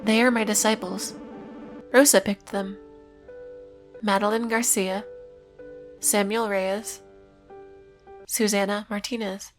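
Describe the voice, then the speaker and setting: A woman's voice: medium pitch, flat delivery, takes it slowly, pauses often, faint music behind, close to an everyday voice. A woman voicing a devout female cult leader in a horror web series, instructing in stilted, formal, solemn phrases. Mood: solemn, welcoming.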